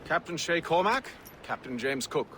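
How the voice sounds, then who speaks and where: A man's voice: on the high side, expressive, natural voice. A man playing a stern, refined eighteenth-century leader of an order, speaking formally and with authority in a video game. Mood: grave, commanding. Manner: formal, measured wording, imperious. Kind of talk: video game cutscene acting. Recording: clear outdoor noise behind.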